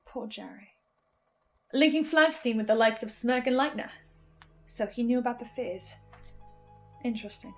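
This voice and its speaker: High-voiced, excitable woman over music. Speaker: podcast listener giving reaction commentary. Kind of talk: enthusiastic theorising commentary. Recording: music behind the voice.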